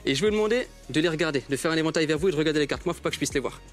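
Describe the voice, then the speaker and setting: A man's voice: fairly high pitch, racing, faint music behind. A man from Alsace with an accent picked up from his hearing-impaired brother, a magician patiently walking people through a card trick. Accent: hearing-impaired speech pattern picked up from his brother. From Alsace, France. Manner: walks people through a card trick step by step, repeating instructions. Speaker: magician guest. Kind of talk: live card trick patter.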